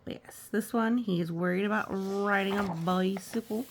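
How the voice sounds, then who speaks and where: A woman reading aloud for children in a storytime style, gently explaining with casual asides. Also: mid-range voice, fairly even intonation, normal speed, a little hiss or hum. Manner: gentle explaining to young listeners. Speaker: storytime reader.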